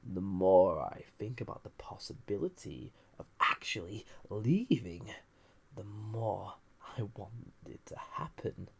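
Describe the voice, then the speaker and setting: A man playing a flirtatious, dangerous banished villain, ancient and lonely, in an intimate roleplay audio drama, breathy and often half-whispered, teasing with languid pauses. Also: lowish voice, expressive, full character voice.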